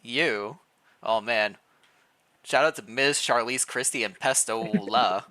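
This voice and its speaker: A streamer reading fan fiction aloud in a playful, expressive way, mixed with casual livestream chat. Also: medium pitch, slow pace.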